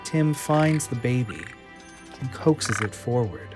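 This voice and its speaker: A man's voice: medium pitch, slow pace. Male audio drama narrator over music. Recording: music under the voice.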